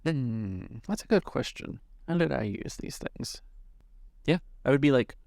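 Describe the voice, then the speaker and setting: A man narrating a tabletop roleplaying game as game master, thinking aloud in a halting way. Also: mid-range voice, lively intonation, creaky, a little mellow, no echo, clean studio sound.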